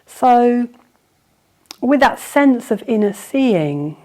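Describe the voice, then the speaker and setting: Woman guiding a calm meditation. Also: on the high side. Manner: soothing, unhurried with reflective pauses. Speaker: yoga nidra teacher. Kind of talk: guided meditation, gently improvised instruction.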